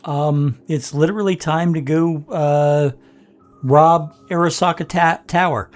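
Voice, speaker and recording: An older man hosting a let's play from his home office, congested and rough-voiced, rambling with many pauses and fillers. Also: on the high side, fairly even intonation, faint music behind. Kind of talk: casual gameplay commentary.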